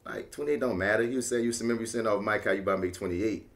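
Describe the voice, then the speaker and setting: A man's voice: lowish voice, rapid-fire, fairly bright tone. A man in his thirties with a New Orleans accent, co-hosting a podcast in casual chat, emphatic and laughing often. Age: 30s. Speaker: podcast co-host.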